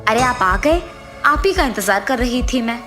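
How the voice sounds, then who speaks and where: A woman's voice: on the high side, lively intonation, normal speed, sharp tone, faint music behind. A woman voicing the anxious, remorseful ghost of a dead wife in a cartoon story, earnest and pleading. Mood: expectant, bossy.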